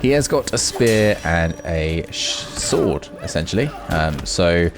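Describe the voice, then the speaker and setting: A man's voice: deep voice, lively intonation, slow pace, music in the background. A man hosting a gaming video, giving casual, unscripted commentary and musing aloud as he goes. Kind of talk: casual off-the-cuff gameplay commentary.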